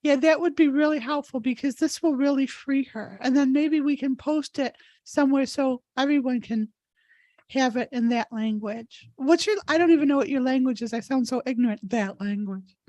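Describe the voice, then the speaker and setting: Female energy healer and host, distinctly high-pitched, casual and encouraging, close dry recording. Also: normal speed, warm tone, dry recording. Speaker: host, energy healer leading a live session. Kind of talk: guided healing affirmations and casual chat. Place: live online video call.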